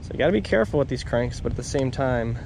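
A man's voice: medium pitch, animated, fast, vocal fry. A young man casually narrating a fishing trip from a small boat on a lake, talking in a laid-back way. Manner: laid-back, says "dude" and "bro". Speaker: fishing vlogger. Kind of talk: casual on-the-water commentary. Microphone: action camera on the boat. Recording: loud wind and boat noise outdoors.

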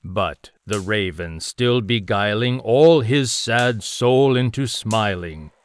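Deep, slow, solemn gothic storyteller. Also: male voice, clear, resonant tone. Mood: solemn, brooding. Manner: solemn, theatrical, measured. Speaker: narrator. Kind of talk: dramatic narration of gothic poetry in an audio drama.

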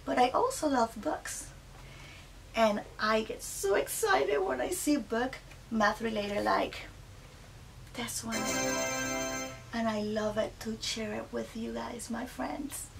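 Very high-voiced, playful woman teacher. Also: slow pace, vocal fry, music in the background. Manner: animated, playful, child-directed delivery. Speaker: children's read-aloud presenter and teacher. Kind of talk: educational read-aloud for young children. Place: small indoor classroom inside a mobile learning vehicle. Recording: distant mic with faint room noise.

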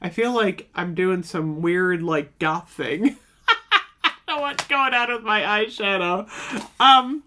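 A woman's voice: medium pitch, expressive, slow pace, pushed and bright, slight background noise. Chatty woman in her fifties vlogging. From United States. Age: 50s. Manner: chatty and nostalgic, many "oh my gosh" and "um". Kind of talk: casual chat to camera. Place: small home room with shelves.

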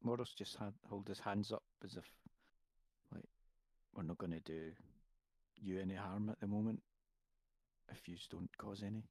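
Lowish voiced guy chatting as a player, dry close sound. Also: steady tone, normal speed, long pauses, a little mellow, dry recording. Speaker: player in a tabletop game. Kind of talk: casual chat, describing his character's action.

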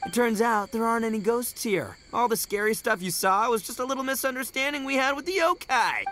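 A man playing a brash, boastful young oni gang boss in a video game, loud, swaggering and casual. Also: very high-pitched, fairly quick. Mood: flustered.

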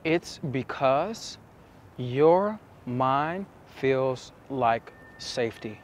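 A young man explaining to camera as a dating coach, with emphatic, deliberate phrasing and pauses, recorded outside on a city street. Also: medium pitch, lively intonation. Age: young adult. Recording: outdoor city street with traffic noise.

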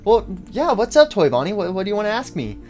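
A man's voice: sits high, wildly swooping pitch, quick pace, a little mellow, soft background music. A man voicing a chirpy, friendly, oblivious female toy chicken animatronic in a comedy cartoon, chatting in a flustered, rambling way. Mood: cheerful, casual. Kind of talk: amateur comedy cartoon dialogue.

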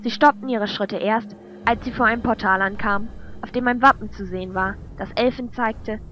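A woman's voice: fairly high pitch, a little hiss or hum. A woman narrator reading a scripted fantasy story. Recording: dull lo-fi track with music. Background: music.

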